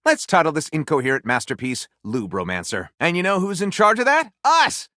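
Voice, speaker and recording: An excitable, flamboyant male literary critic from a video game. His voice swoops dramatically across a wide range and rings bright and punchy. He makes grand, playful announcements in a theatrical style, recorded very dry and close. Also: fairly high pitch, brisk, dry recording, heavily acted. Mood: playfully grandiose. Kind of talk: theatrical scripted game dialogue.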